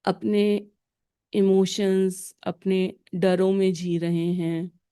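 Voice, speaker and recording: Casting: woman meditation guide, very slow pace, soft-edged mellow tone, calm and deliberate. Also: mid-range voice, clear, resonant tone. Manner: calm, deliberate, with pauses between short phrases. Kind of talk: guided meditation, slow instructions in short phrases.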